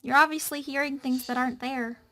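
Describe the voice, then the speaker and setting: Woman, slightly high voice, matter-of-fact, scripted fantasy narration. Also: steady tone, a little fast, fairly bright tone. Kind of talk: scripted narration in amateur table read.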